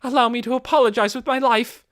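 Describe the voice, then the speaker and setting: A man's voice: very high voice, steady tone, fast talker, a bit bright, voice pushed up. A man voicing a devoted, obedient female servant with a formal, fervent manner, in a fantasy audiobook. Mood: fervent, apologetic.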